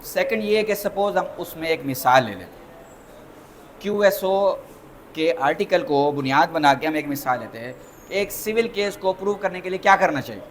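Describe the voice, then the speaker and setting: High-pitched Pakistani man lecturing. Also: expressive, normal speed, slight background noise. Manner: didactic, repeats points and asks rhetorical questions. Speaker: lawyer giving a lecture. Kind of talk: interactive law lecture mixing in English terms.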